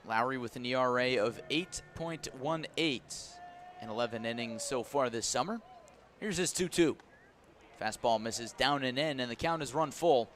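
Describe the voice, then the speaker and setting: A man's voice: medium pitch, normal speed, fairly bright tone. A man giving live radio play-by-play of baseball, in clipped descriptive phrases that rise with the action. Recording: noisy outdoor ballpark ambience.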